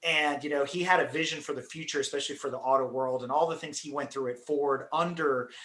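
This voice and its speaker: A man hosting a car podcast, telling stories in an animated, chatty way with frequent laughs. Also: mid-range voice, fast. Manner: animated storyteller, laughs often. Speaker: podcast host. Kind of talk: casual chat. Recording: roomy echo.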